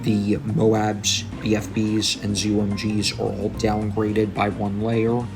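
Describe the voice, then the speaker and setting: Slow, gravelly man talking over game music. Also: fairly low pitch, completely flat delivery. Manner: hesitant, frequent ums. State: tired, coming down with a cold. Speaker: gaming YouTuber. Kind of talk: gameplay commentary on patch notes.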